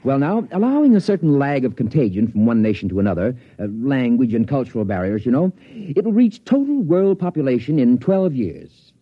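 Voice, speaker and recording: An actor playing an unflappable, absent-minded scientist with a husky, musing delivery in a 1950s radio drama. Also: male voice, mid-range voice, big pitch swings, brisk, hardly any pauses, no echo. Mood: vague, musing. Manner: mild, hesitant, musing, professorial. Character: unflappable absent-minded male scientist, smugly detached doctor. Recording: dull, dry vintage radio recording.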